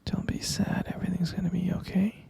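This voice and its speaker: Man acting a creaky whispering obsessive kidnapper boyfriend. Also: high voice, level tone, dark tone, exaggerated voice. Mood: soothing, obsessive. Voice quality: breathy, fry-laden murmur. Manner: slow, coaxing, saccharine pet names. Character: obsessive, possessive male kidnapper cooing to captive lover. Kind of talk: ASMR boyfriend roleplay, intimate close whispering.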